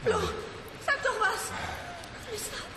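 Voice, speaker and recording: A frantic, frightened woman calls out in a radio drama. Her extremely high voice echoes through the space against loud background noise. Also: wildly swooping pitch, measured pace, full character voice. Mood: panicked.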